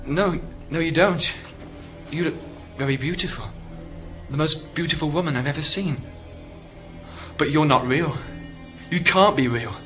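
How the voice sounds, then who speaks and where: A man plays a lonely British astronaut stranded far from home in a radio drama. His high-pitched voice is anxious, halting and full of disbelief, over prominent music. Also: sharp tone. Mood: awed, doubting. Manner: agitated, incredulous, halting.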